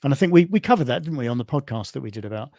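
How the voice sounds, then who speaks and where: A man with a British accent hosting an informal online presentation as a competition organiser, speaking in a conversational, halting way. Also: medium pitch, expressive, a little mellow, no echo, studio quality. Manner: conversational, halting, often repeats "you know".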